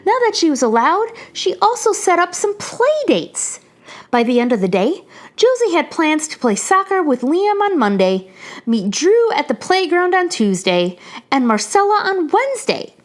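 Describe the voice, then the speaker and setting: High-pitched, lively woman reading a children's story. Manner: animated storytelling. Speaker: children's story reader, channel host. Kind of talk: expressive read-aloud of a children's picture book.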